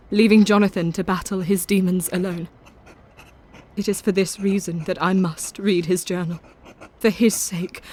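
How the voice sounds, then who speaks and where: An actress playing a devoted, anxious young Victorian wife in a gothic audio drama, speaking tenderly and earnestly with formal period phrasing. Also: female voice, medium pitch, darkish tone, lightly acted.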